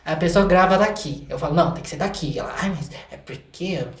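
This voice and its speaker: A young Brazilian man chatting casually as an interview guest, an animated storyteller who acts out quotes. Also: fairly high pitch, fast talker. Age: young adult. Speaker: guest, singer and artist. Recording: echoey room with some background noise.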